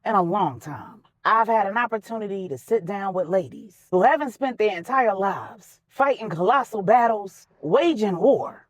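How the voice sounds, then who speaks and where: A woman storyteller plays an older peacemaker in a drama story. She is earnest and weary of conflict, speaking firmly and emphatically with moving pitch. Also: no echo, natural voice.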